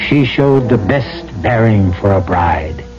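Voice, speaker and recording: A man's voice: fairly low pitch, expressive, slow. A man playing a solemn storyteller host, narrating a script in old-time radio style. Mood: solemn, romantic. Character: solemn storyteller host setting a romantic scene. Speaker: host introducing the radio play. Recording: muffled old radio broadcast, loud music behind.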